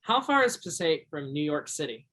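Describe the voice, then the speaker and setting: A man's voice: fairly high pitch, sing-song, normal speed, bright, forceful tone, heavily acted. An actor in a one-act stage play playing an earnest, shy young Alaskan backwoodsman, speaking a little hesitantly. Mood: curious.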